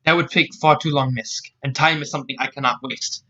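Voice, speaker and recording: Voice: mid-range voice, fairly even intonation, brisk, bright, forceful tone, lo-fi sound, lightly acted. A storyteller voicing a polite, stoic samurai man with formal, courteous speech in a story read aloud. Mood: polite, restrained.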